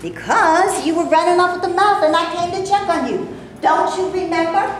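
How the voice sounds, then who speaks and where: A woman's voice: extremely high pitch, a little fast. A woman acting a feisty, fed-up film actress who is stuck playing maids, in a staged play reading, with sassy, indignant colloquial talk. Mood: irritated. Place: echoey room before a live audience. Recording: echoey room with noise, live audience recording.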